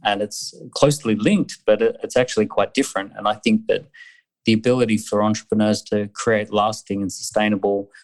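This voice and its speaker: A man's voice: on the low side, darkish tone. A man running an accelerator, talking earnestly with many fillers and restarts. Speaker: accelerator founder. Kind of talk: conversational interview.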